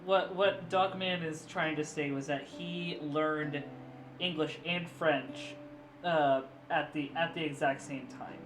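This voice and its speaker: A man hosting a stream and chatting with a friend, who teases and laughs often and sometimes stops to explain a point. His fairly high voice rings in an echoey room. Guitar music plays under him. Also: fairly even intonation, slow. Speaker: streamer, host. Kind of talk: casual chat.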